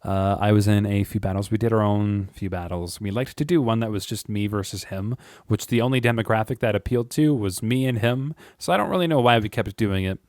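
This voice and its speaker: Deep-voiced man rambling casually. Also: fairly quick, darkish tone, dry recording. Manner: rambling and chatty, frequent chuckles, "um" and "uh". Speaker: YouTube creator. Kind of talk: casual monologue to camera.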